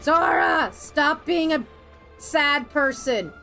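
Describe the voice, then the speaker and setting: A woman's voice: high voice, slow, raised voice, over music. A woman streaming a video game, ranting sarcastically and swearing at enemies as she plays. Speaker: gaming streamer. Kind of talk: ranting let's-play commentary.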